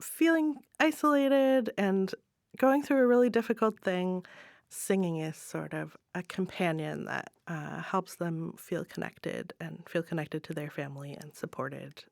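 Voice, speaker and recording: A woman giving thoughtful, measured answers as a playwright in an interview. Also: lowish voice, vocal fry, dry recording, clean studio sound. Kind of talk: reflective interview talk.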